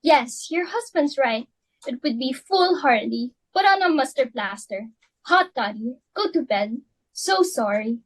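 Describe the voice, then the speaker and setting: A woman's voice: sits high, lively intonation, measured pace, rough recording. A woman playing a domineering, socially ambitious mother and hostess in a dramatic reading of a stage play, with an imperious, melodramatic, scolding manner. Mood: feigned sympathy.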